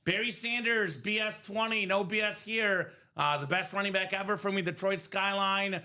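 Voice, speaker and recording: Bright-voiced male card break host. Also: high-pitched, moderate pace. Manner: upbeat, salesy running commentary. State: voice a bit worn from singing. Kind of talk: live stream selling chat.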